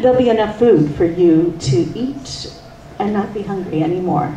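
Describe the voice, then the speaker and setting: A woman's voice: mid-range voice, expressive, moderate pace, mellow, slight background noise, lightly acted. A woman storyteller voicing a kind, eager young boy who talks politely and hesitantly. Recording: roomy distant mic, faint ambience.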